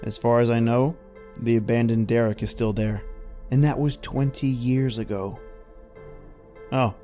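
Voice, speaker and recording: A man acts out a plain-spoken middle-aged roughneck in an old-time radio horror play. He talks in folksy slang at a medium pitch and hesitates as he recalls dark events. Music runs underneath. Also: steady tone, unhurried, lightly acted. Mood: haunted storytelling.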